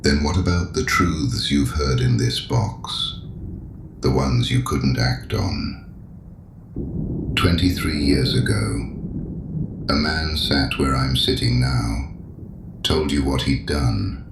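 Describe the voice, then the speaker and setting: A man's voice: echoey room, background noise, exaggerated voice. An actor playing a courteous, uncanny male penitent who probes a priest's old sins in an audio drama. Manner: slow, silky, mocking, very deep. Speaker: mysterious penitent.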